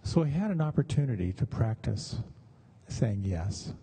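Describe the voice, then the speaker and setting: A middle-aged or older man giving a conversational meditation talk with personal stories, speaking in a measured, halting way with pauses. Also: mid-range voice, very animated, mellow, breathy edge.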